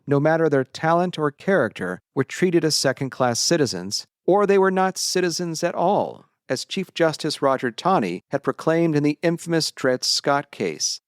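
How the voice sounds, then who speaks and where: A man narrating a scripted historical essay in a measured, deliberate way, as a historian would. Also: fairly high pitch, no room sound, clean studio sound.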